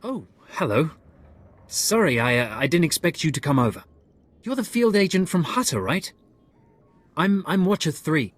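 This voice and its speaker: A man's voice: fairly high pitch, big pitch swings, moderate pace, pauses often, soft background music. Awkward, timid male intelligence analyst. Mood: nervous. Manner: nervous, hesitant, apologetic. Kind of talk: video game voice acting.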